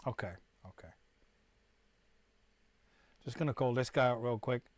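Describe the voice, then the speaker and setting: A man's voice: medium pitch, a little slow, dry recording. A middle-aged man from the UK, chatting casually to viewers on a live stream, rambling and restarting often. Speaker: musician hosting a live stream.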